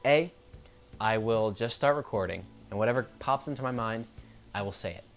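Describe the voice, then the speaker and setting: A man's voice: fairly low pitch, normal speed, faint music behind. A man talking casually to camera in an unscripted monologue, rambling and correcting himself mid-sentence, with the odd stutter. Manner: stutters now and then. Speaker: YouTuber.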